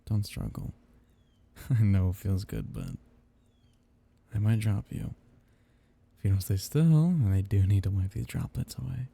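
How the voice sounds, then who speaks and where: Guy playing a soft teasing vampire count, asmr roleplay, low and gravelly, very intimate. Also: big pitch swings, measured pace, long pauses, exaggerated voice. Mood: teasing, tender. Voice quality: husky, dark, gravelly. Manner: soft, teasing, murmured endearments. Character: tender possessive vampire count soothing his captive.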